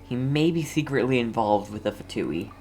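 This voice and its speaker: A woman's voice: a bass voice, expressive, moderate pace, darkish tone. A woman giving casual live gameplay commentary as a streamer, reacting in an informal, blunt way. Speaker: game streamer.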